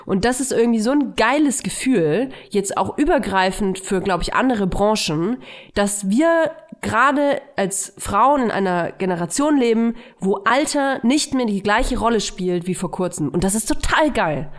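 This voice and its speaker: A woman's voice: medium pitch, normal speed. A woman in her early thirties co-hosting a podcast, chatting casually and poking fun at herself. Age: early 30s. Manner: self-mocking, animated, laughs at herself. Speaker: podcast co-host.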